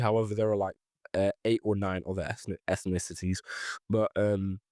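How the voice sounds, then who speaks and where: A man's voice: on the low side, darkish tone, no room sound, studio quality. Hesitant young man explaining history. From Ethiopia and Eritrea. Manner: hesitant, many ums and restarts. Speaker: podcast guest. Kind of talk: casual explanatory chat.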